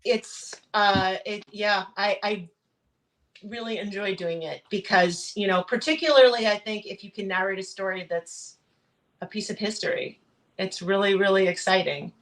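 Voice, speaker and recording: A woman with a mid-pitched voice talks as an interview guest about her craft. She is earnest and reflective, speaking conversationally and often seeking agreement as she makes a point. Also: moderate pace, a bit bright, rough recording. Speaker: guest voice actor, audiobook narrator.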